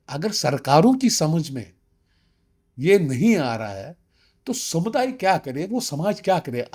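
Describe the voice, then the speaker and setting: A man's voice: a little high, a little mellow. A man of about sixty, giving emphatic interview testimony and slipping in English phrases. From Kashmir, India. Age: around 60. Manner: emphatic, insistent, uses rhetorical questions. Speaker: interviewee, community representative.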